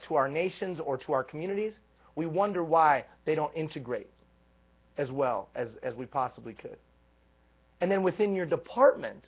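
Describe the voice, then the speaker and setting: Husky-voiced man coaching over a tinny line. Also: fairly high pitch. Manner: energetic, emphatic, repetitive build-ups. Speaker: leadership coach. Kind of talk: live coaching talk to a group. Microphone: live stream microphone. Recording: tinny phone-like live stream.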